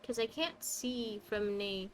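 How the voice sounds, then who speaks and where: A woman's voice: fairly high pitch, slow, slight background noise. A woman game streamer giving casual live commentary, chatty and musing aloud in questions. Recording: faint game music behind.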